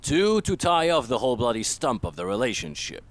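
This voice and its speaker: A man's voice: medium pitch, very animated, fairly quick, lightly acted. A man playing a brash, bossy, sardonic columnist in a voiced comic, with a commanding, worldly manner. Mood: brisk, commanding. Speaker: voice actor. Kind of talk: voiced comic book dialogue.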